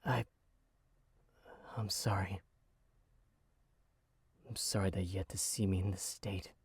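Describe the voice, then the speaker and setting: Man acting a husky, halting, exhausted young nobleman. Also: deep voice, fairly even intonation, full character voice. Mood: weary, tender. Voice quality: husky, breathy. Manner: halting, slow, pleading. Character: frail, exhausted nobleman, tender and lonely. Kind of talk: audio drama acting.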